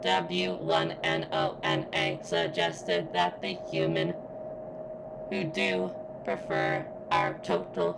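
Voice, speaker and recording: An extremely deep, slow-speaking female surveillance robot, acted by a woman for an ASMR roleplay. She is formal and halting, with a vulnerable, flirtatious undertone and a strained edge as if in pain. Gravelly fry runs through the voice, over clearly audible background noise. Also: heavily acted. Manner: stilted, formal, halting phrasing with pained strain.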